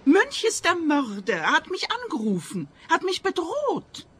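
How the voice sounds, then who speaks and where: An actress playing a feisty, theatrical mother in a comic radio play, brisk and dismissive in manner. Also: very high-pitched, huge pitch swings, a bit bright, slight background noise, exaggerated voice. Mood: dramatic, accusing. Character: feisty, dramatic mother of a grown daughter.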